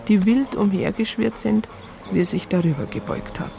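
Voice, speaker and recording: A woman's voice: mid-range voice, normal speed, gravelly, warm tone, close to an everyday voice. An actress playing an earnest, aggrieved woman who narrates events in a conversational, detailed way with a southern German accent. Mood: wry recounting. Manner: conversational, detailed, exasperated storytelling. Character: earnest, aggrieved female doctor recounting a police case. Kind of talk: first-person radio drama narration.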